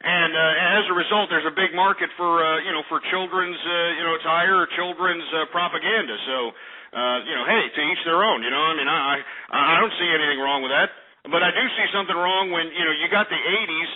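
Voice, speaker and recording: A man's voice: fairly high pitch, fairly quick. An American man hosting a call-in radio show, ranting argumentatively in a blustering, mocking manner and cutting in. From United States. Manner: combative, blustering, mocking, interrupting. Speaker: radio talk show host. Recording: tinny phone-like internet radio sound.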